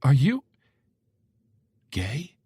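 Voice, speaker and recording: A man voicing a flustered middle-aged mother who asks breathless, rapid questions. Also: medium pitch, wildly swooping pitch, dark tone, slightly rough, dry recording, studio quality, voice pushed up, exaggerated voice.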